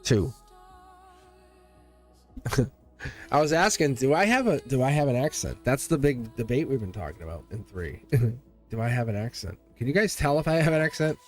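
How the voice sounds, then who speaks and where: A man's voice: medium pitch, very animated, moderate pace, pauses often, faint music behind. A man giving a live-streamed tarot reading, chatty and teasing, laughing often as he talks to his viewers. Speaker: tarot reader.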